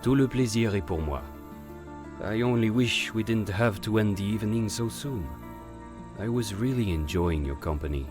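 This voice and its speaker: A man playing a suave, silver-tongued gentleman con artist with a flaming head in an audio drama, courtly and sprinkling in French phrases. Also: lowish voice, animated, normal speed, over music, lightly acted. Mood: courteous, charming.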